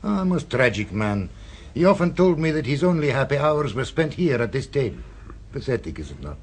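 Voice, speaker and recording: An actor playing a suave, sinister restaurant owner in a radio drama, with a smooth, purring and insinuating delivery. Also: male voice, mid-range voice, big pitch swings, a little fast. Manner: silky and insinuating, tags questions with "eh". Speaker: restaurant proprietor.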